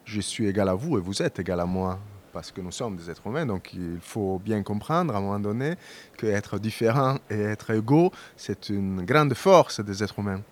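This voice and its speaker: A man working as a live interpreter, rendering a speaker's words in the first person in a measured, slightly hesitant way. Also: lowish voice, expressive.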